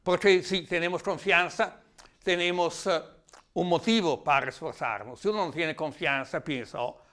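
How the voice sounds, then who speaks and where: A man with an Italian accent giving a reflective, explanatory talk in a language that is not his native one, sometimes halting as he searches for words. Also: on the high side, a bit bright. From Italy. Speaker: lecturer.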